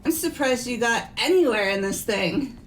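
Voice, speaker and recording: A woman's voice: on the high side, lively intonation, normal speed, natural voice, low-quality recording. A woman voicing a blunt, accusing, headstrong teenage girl in a fan-voiced visual novel. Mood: exasperated. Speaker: voice actor in fan game playthrough. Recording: music under the voice.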